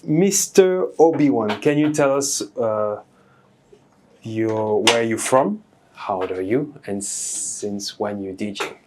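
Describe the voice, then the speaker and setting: A man interviewing a guest, asking halting questions and reacting quickly. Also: on the low side, animated, darkish tone, slight background noise. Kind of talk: casual interview.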